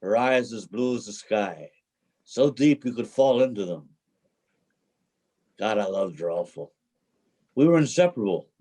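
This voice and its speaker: A man's voice: on the low side, expressive, unhurried, long pauses, mellow. A man acting a wistful, folksy homeless drifter. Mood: wistful, reminiscing. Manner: folksy, dramatic storytelling. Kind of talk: staged play reading. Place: remote video call reading.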